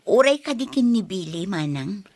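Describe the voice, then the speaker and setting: A woman's voice: mid-range voice, very animated, normal speed, a little mellow, dry recording. A woman with an Ilocano accent playing a coy young woman in a radio drama, often drawing out her interjections. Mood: confiding. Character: Claire, coy young woman being courted. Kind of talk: Filipino radio drama acting.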